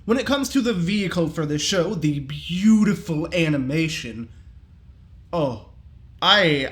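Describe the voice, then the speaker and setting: Guy w a pretty high voice doing a casual excited review, jokey and slangy. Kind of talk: casual enthusiastic video review.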